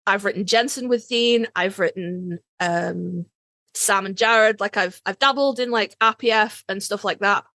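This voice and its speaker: A woman's voice: mid-range voice, measured pace, bright, forceful tone, dry recording. A British woman co-hosting a podcast in casual chat, informal and sweary. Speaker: podcast co-host.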